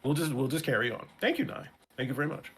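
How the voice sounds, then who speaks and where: A man with an American New York accent, a guest in a casual interview chat, talking in an animated way and often restarting his words. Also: mid-range voice, quick pace, breathy edge. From Brooklyn, New York.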